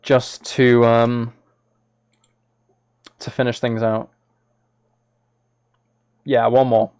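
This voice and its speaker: A man's voice: medium pitch, level tone, extremely slow, darkish tone, smooth, dry recording, studio quality. A man live streaming, talking casually and a little hesitantly to his viewers as he reads chat and reacts.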